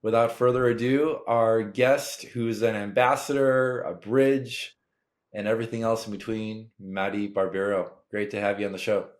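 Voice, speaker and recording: A middle-aged man hosts a podcast with a fairly low voice. He sounds upbeat and friendly as he opens the episode and poses questions, with a few "um"s along the way. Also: steady tone, normal speed. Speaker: podcast host.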